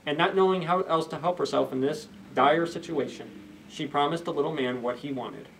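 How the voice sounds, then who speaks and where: A man in his thirties reads a children's story in a fairly high voice. He is expressive and pauses for asides to his listeners. The microphone sits a little way from him. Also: vocal fry, slight background noise. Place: indoors, library children's room. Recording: slightly distant camera microphone.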